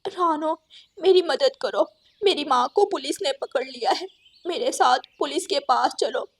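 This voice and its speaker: Mother crow for cartoon woman acting: distressed, pleading, high voice, unhurried pace. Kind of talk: cartoon story dialogue.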